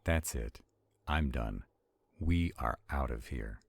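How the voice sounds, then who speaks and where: A man's voice: very deep voice, expressive, takes it slowly, vocal fry, slightly rough, full character voice. A man playing an arrogant, skeptical and protective vampire in a roleplay audio drama, haughty and commanding. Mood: alarmed. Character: arrogant, skeptical, protective male vampire, irritable under strain.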